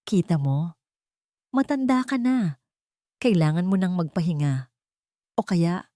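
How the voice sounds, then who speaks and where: Woman voicing a scheming, sharp-tongued daughter-in-law. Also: lowish voice, animated, measured pace, no room sound, clean studio sound. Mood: sharp, menacing. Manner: sharp, needling, feigning concern. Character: scheming, cruel young daughter-in-law, falsely sweet.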